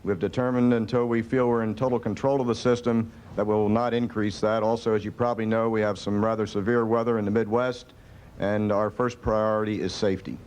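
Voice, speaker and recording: A man's voice: level tone, a little fast, a little mellow. An American man, a government official, answering press conference questions in a firm, matter-of-fact way. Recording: faint rumbly background noise.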